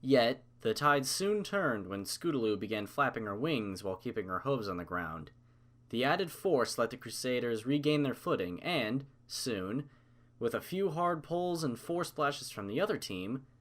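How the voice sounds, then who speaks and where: A man's voice: medium pitch, big pitch swings, measured pace, a bit bright. A man narrating a scripted story as an audiobook reader.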